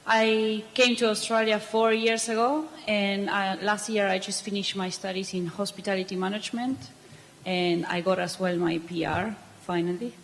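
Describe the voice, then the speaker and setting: A woman introduces herself in a relaxed, casual way. Her voice sits at a mid pitch. The room adds a long hall reverb. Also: normal speed, a little hiss or hum. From Argentina. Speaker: panelist.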